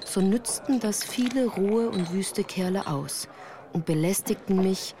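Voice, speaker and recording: A woman playing a melancholy narrator who recounts her hard youth in a measured, earnest radio drama style. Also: medium pitch, background noise, natural voice. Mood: reflective, earnest.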